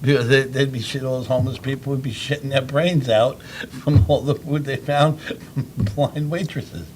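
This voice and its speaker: A man's voice: mid-range voice, fairly even intonation, normal speed, slight background noise. A man co-hosting a live web show, trading crude, mocking jokes in casual banter. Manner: loud, mocking, crude joking.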